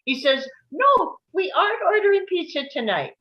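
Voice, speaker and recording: A woman's voice: very high-pitched, very animated, moderate pace, muffled recording. A woman playing a worn-out mother of boys, frank and exasperated, in a comedy table read. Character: jaded, worn-out mother of boys, party-hungry.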